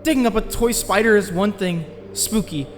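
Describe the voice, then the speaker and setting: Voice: medium pitch, normal speed, music in the background, close to an everyday voice. An actor playing an anxious, driven teenage boy who narrates his own story intensely in a dramatic comic dub.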